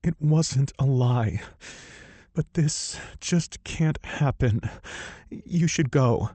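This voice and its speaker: A man pitching up to play a fiery young woman in a storybook romance, her voice lifted well above his own and husky at the edges. She is guarded and quick to flare, gasping and exasperated, recorded close and dry with no room sound. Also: warm tone, no echo. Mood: indignant. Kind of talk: audiobook character voice.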